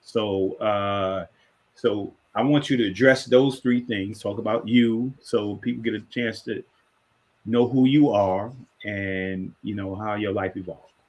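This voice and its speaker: A man hosting a podcast, asking a long question in a rambling, halting way with many repeats. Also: on the low side, steady tone. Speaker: podcast host. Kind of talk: interview question.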